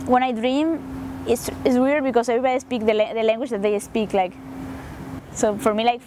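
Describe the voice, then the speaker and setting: A young woman from Argentina, whose first language is Spanish, speaks in a fairly high voice. She tells her story with lots of "like" and acts out what people said. Faint traffic noise sits in the background. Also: a little fast. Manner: animated, quotes herself, many fillers. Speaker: interviewee.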